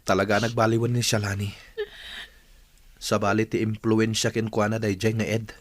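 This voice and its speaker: A man's voice: on the low side, level tone, moderate pace, no room sound, natural voice. A man playing a protective friend, consoling and concerned, in an Ilocano radio drama. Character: concerned, protective male friend of a crying girl.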